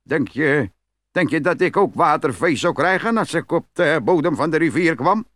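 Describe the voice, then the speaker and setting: A man's voice: a little high, lively intonation, moderate pace, fairly bright tone, no room sound. A man playing a greedy, gullible, hot-tempered peasant in a fairy-tale audio play, blustering and exclamatory. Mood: amazed, envious. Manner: blustering, exclamatory, stammers when startled.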